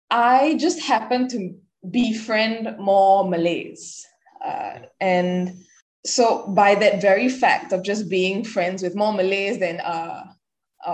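Chatty Singaporean woman guest. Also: medium pitch. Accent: Singaporean English. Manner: chatty, many "like" fillers. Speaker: podcast guest. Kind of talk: casual interview chat.

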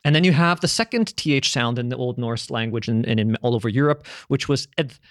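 A man's voice: mid-range voice, brisk, no echo, clean studio sound. A man in casual conversation, enthusiastically explaining language history with lots of restarts and the odd curse. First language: English, grew up also speaking French at home. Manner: rambling and enthusiastic, many restarts, casual swearing.

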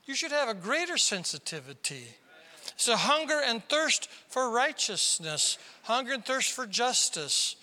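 A man from South Dakota preaching a sermon as pastor, with a squeaky tenor voice and emphatic delivery that climbs into sudden loud bursts. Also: very animated, unhurried. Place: large church hall. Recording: reverberant hall.

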